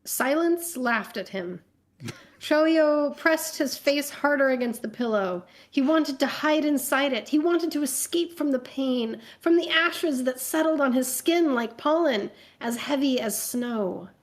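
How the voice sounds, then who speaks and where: A woman's voice: sits high, expressive, measured pace. A woman narrating fan fiction aloud for a comedy show, with joking asides.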